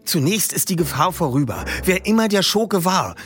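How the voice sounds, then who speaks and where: A man voicing a composed teenage boy detective who calms a frightened man in a book reading. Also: high voice, normal speed, faint music behind, pitched above the performer's own voice. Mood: reassuring. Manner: calm, reassuring.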